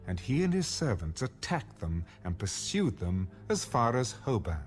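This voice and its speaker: A man narrates scripture with a lively, varied pitch. Clear music plays behind his scripted reading, which is delivered as an audio Bible narration. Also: normal speed. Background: background music.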